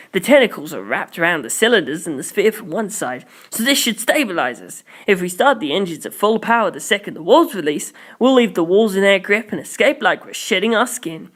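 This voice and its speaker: A narrator voicing an eager, cocky male spaceship crewman in an audiobook, brisk and confident. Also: mid-range voice, sing-song, fairly bright tone, voice pushed up. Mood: excited, confident.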